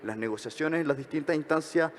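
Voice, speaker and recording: A man with a Chilean accent giving reflections at a conference workshop, talking fast with frequent hesitations and self-corrections. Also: a little high, long reverb tail, a little hiss or hum. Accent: Chilean Spanish. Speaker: conference speaker.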